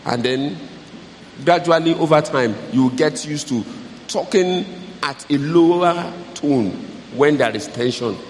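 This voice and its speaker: A man with a big, loud voice giving advice to an audience, teaching with repeated key phrases. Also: fairly high pitch, a little slow, a little hiss or hum. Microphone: handheld mic. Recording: echoey hall with faint ambience.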